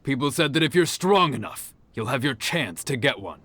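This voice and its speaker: Earnest, level-headed young fighter for a game; plain, sincere delivery. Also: male voice, medium pitch, moderate pace. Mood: reflective. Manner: calm, plain-spoken, sincere. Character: earnest male tournament fighter, humble. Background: game music.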